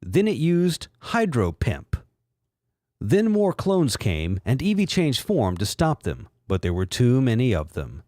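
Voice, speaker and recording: A man's voice: mid-range voice, huge pitch swings, a little slow, warm tone, no room sound, clean studio sound. A man giving a sardonic, mock-dramatic narration with a theatrical delivery. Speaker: reader and commentator.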